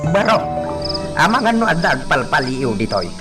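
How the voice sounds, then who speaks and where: A man's voice: on the high side, very animated, moderate pace, loud music behind the voice. A man playing an alarmed, cautioning older village man in a radio drama. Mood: pleading.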